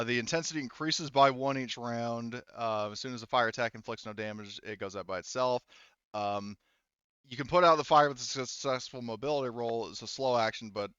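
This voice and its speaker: A man acting as game master of a casual tabletop roleplaying game, haltingly thinking aloud as he goes. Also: mid-range voice, steady tone, fairly bright tone, clean tone, no room sound, muffled recording.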